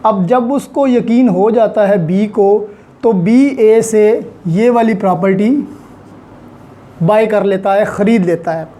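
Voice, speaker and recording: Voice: mid-range voice, slow pace, mellow. A lecturer with a Pakistani accent, explaining law methodically, step by step with examples, mixing in English terms. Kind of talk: explanatory lesson, Urdu mixed with English legal terms.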